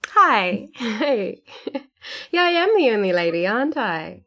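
A woman's voice: on the high side, sing-song, measured pace, a bit bright. An Australian woman, a writer and performer, chatting enthusiastically as a podcast guest. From Australia (Sydney). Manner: chatty and enthusiastic, often laughing. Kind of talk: casual podcast interview.

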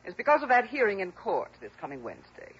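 An actress playing an imperious, melodramatic middle-aged wife who is quick to take offence, in a 1960s radio drama. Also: female voice, medium pitch, wildly swooping pitch, husky, heavily acted. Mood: bossy, demanding. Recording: dull, lo-fi old radio recording.

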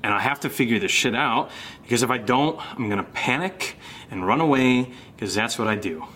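A man's voice: on the low side, a bit bright, slight background noise. A man acting an anxious young American who plays the rational friend, in a naturalistic horror audio drama. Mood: uneasy, confiding. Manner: casual, hesitant, sweary.

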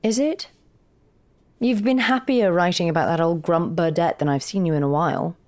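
Low-pitched, candid woman in an audio drama. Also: normal speed, a little mellow, natural voice. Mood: frank. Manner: frank, articulate, wry. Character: candid, witty, encouraging female partner of a writer. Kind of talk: naturalistic audio fiction acting.

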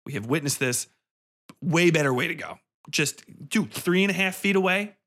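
A man's voice: fairly high pitch, expressive, moderate pace, a bit bright. A man hosting a podcast gives a casual, profane film review in a sarcastic, irreverent, rambling way, leaning hard on phrases for emphasis.

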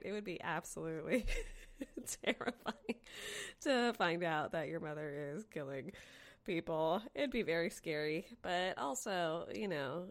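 A woman's voice: on the low side, vocal fry. A woman hosting a podcast, responding casually to listener mail with playful, effusive emphasis. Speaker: podcast host.

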